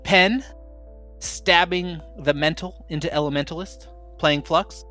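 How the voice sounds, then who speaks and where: A man with a slightly high voice hosts a tabletop game podcast as its game master. He talks casually and jokes along as he goes. Faint music plays underneath. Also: steady tone, unhurried, pushed and bright. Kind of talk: casual hosting of an actual-play tabletop podcast.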